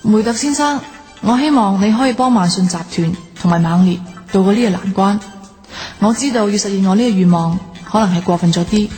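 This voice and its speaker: A woman's voice: medium pitch, brisk, a little mellow, soft background music, close to an everyday voice. An actress playing an earnest, pleading woman in a radio drama, recorded with long reverb.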